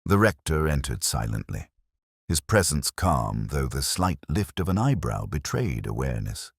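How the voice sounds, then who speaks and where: Male narrator for detective fiction: extremely deep, formal and measured, with lively intonation and a dry studio sound. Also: creaky, slightly rough, no room sound. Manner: measured, literary, formal delivery. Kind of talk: scripted first-person detective story narration.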